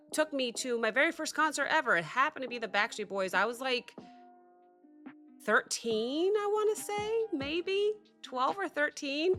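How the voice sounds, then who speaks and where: Excitable woman host, bright voice, recovering cold, soft music underneath. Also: on the high side. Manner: chatty and excitable, rambling with fillers and restarts. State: sick with a cough, recovering voice. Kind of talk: casual chat to camera.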